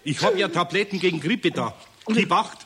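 An actor playing a grumbling but caring older master carpenter with a Bavarian accent, scolding and fretting in a children's radio play. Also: male voice, sits high, lively intonation, moderate pace, a crowd in the background. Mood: gruff, fussing. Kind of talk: 1970s German children's radio play acting.

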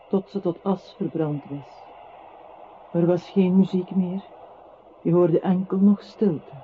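An actor playing a narrator who comments on a composer's life in a literary radio play. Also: male voice, high voice, fairly even intonation, slow, slight background noise. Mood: sombre. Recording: muffled old radio recording.

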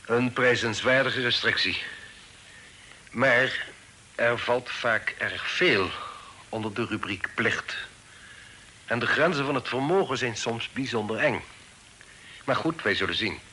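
Commanding, secretive father, bright voice. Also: medium pitch. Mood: guarded, persuasive. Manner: formal, deliberate, commanding. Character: secretive, authoritative fugitive father, stern and shrewd. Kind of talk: radio drama acting. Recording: noisy old radio drama recording.

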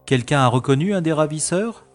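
An actor playing a brisk, self-assured and sarcastic special agent in a science-fiction audio drama. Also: male voice, a little high, expressive, soft background music, close to an everyday voice. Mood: sardonic. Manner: sarcastic, commanding.

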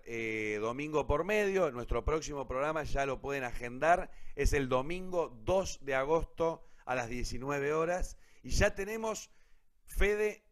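An Argentine man speaks as a radio host in a middle-pitched voice. His delivery is casual and informal, with a grateful manner, as in a live sign-off. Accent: Argentine Rioplatense.

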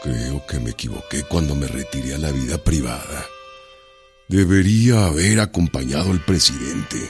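An actor playing a rough, folksy Mexican revolutionary leader with a northern Mexican accent, plainspoken and defiant, in a radio drama. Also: male voice, extremely low pitch, moderate pace. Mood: resolute, regretful. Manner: colloquial, earthy, swaggering. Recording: studio radio drama with music behind.

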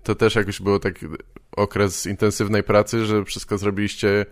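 A man hosting a casual podcast interview, asking informal questions in a halting way. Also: lowish voice, flat delivery, dry recording.

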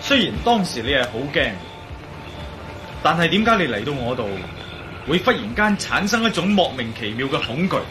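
Radio drama investigator: slightly high, very lively intonation, probing questions, music behind. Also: male voice, moderate pace. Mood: puzzled probing. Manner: inquisitive, steady questioning. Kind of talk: Cantonese radio drama acting.

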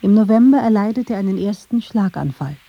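A woman narrating a scripted documentary in a measured, factual manner. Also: medium pitch, lively intonation, husky, no echo, dull audio.

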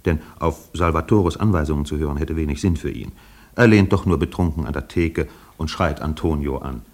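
An extremely low-pitched storyteller narrates a radio play. He is composed and matter-of-fact, with a dry, wry edge to his scripted delivery. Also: brisk.

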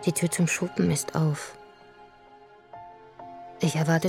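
A hushed, weary young mother. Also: sits low. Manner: hushed, close, half-whispered narration. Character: weary young mother narrating her day. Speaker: first-person narrator, mother. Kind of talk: radio drama narration. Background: music.

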